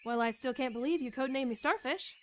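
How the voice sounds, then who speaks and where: A woman's voice: high voice, quick pace, slight background noise, lightly acted. A woman playing a feisty young rookie soldier in a radio drama, reporting in a wry, clipped, gutsy manner. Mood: wry, confident. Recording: radio drama with music behind.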